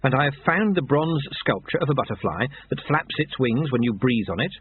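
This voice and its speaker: A man's voice: medium pitch, lively intonation, brisk, breathy edge, pitched above the performer's own voice. A man voicing an anxious, hasty young male novice monk who speaks in a nervous, eager way. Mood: earnest. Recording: tinny phone-like sound.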